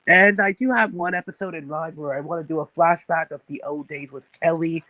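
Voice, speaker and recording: A man's voice: fairly high pitch, fairly even intonation, fairly quick, pushed and bright, no room sound, low-quality recording. A man guest on a live stream, eagerly rambling through his questions. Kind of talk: casual chat.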